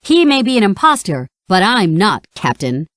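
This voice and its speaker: A woman's voice: medium pitch, big pitch swings, normal speed, a bit bright, no room sound. A brave young storybook prince speaks with proud, regal command, as a woman reads him. Her voice moves freely up and down. The recording is dry and close to the mic.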